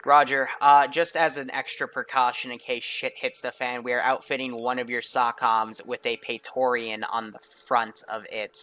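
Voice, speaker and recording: Bright-voiced blunt man briefing gamers. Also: medium pitch, level tone, moderate pace, hardly any pauses. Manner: blunt, sweary, sardonic. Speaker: mission commander in an online military game. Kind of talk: mission briefing over game voice chat.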